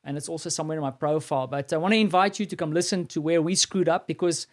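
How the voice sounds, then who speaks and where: A man's voice: on the high side, fast. A man with a South African accent hosting a live stream, talking casually and rambling with many fillers and restarts.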